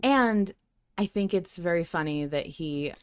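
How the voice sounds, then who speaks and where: A woman's voice: fairly low pitch, animated, unhurried, smooth, dry recording. A woman hosting a podcast in casual, enthusiastic, effusive conversation. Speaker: podcast host.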